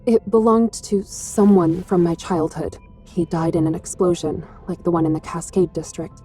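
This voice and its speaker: An actress playing an earnest, searching young heroine in a sci-fi game, speaking softly and hesitantly with feeling. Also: female voice, lowish voice, steady tone, normal speed, faint music behind, natural voice. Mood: wistful, pleading. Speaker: voice actor in a game cutscene. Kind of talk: dramatic game voice acting.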